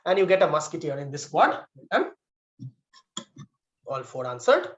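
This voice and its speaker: A man's voice: fairly high pitch, sing-song, normal speed, muffled recording. A man acting as quiz master, reading out trivia questions and giving verdicts on answers.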